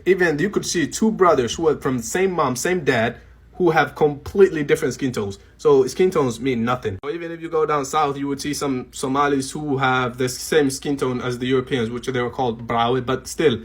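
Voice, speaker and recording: A man with a mid-range voice answers a question calmly, laying out his explanation step by step. He sounds even and steady. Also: mid-range voice, brisk, slightly rough. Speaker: commentator in a clip. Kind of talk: explaining to camera.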